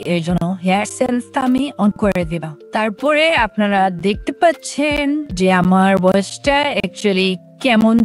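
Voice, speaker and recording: A man casually demonstrating a product through a voice changer that makes him sound female. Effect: AI voice changer giving a higher, feminine voice. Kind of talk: casual product demo narration.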